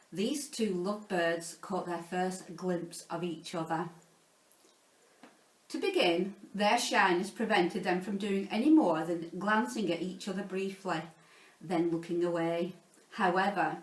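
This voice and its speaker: A woman reading a children's story aloud in an expressive, playful, theatrical way, like a teacher narrating to pupils. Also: medium pitch. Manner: theatrical, playful, dramatizing sound words. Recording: roomy echo, distant from the mic.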